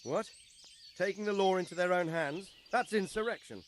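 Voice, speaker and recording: A man playing a brisk, inquisitive and authoritative medieval lord in a video game. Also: sits high, a little hiss or hum, close to an everyday voice. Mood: stern. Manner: commanding, inquisitive.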